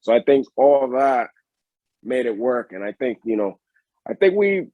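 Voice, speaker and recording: A man with a Puerto Rican accent answers interview questions at an even middle pitch. He rambles, trips over his words and fills gaps with "uh". The sound is dry, with no room around it. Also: unhurried, no echo, phone-quality sound. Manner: rambling, many restarts and fillers. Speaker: pro wrestler guest. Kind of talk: casual interview.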